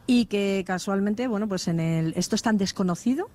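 Fifty-something woman, chatty and jokey, mid-pitched voice, talking outside in a village square. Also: normal speed, a little mellow, slight background noise. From Madrid, Spain. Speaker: interviewee, vocational teacher. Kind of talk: casual radio interview.

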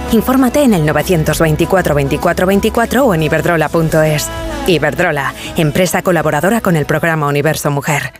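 A man's voice: on the high side, animated, a little fast. A man narrating a scripted radio advert. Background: music.

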